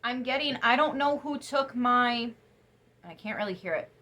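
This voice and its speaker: A young woman giving a casual tarot reading to camera, chatty and emphatic, sniffly after crying, her voice turning soft when she muses. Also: a little high, moderate pace. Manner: chatty, emphatic, drops to soft musing asides. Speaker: tarot reader.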